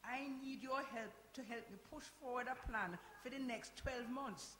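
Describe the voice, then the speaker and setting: An actress playing a brisk, insistent grandmother who runs a community centre, in a live radio play. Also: a little high, a bit bright, natural voice. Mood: brisk, persuasive. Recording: echoey hall, hiss and noise.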